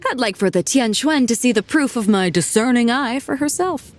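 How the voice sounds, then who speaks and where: A woman's voice: on the high side, animated, moderate pace, slight background noise, close to an everyday voice. A woman voicing a bold, generous female ship captain who talks casually and laughs easily, in a game cutscene.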